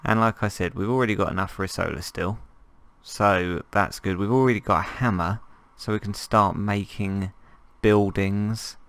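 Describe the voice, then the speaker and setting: Croaky low-voiced man with a cold. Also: fairly even intonation, normal speed, fairly bright tone, no room sound. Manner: rambling, jokey, thinking aloud. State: hoarse from a cold. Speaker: gameplay commentator. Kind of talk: casual gameplay commentary.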